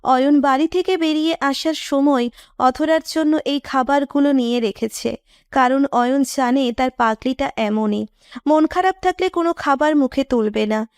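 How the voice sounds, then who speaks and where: A woman narrates a scripted romantic tale in a high voice. The sound is dry and close, with very little room around it. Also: moderate pace, no room sound. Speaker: story narrator.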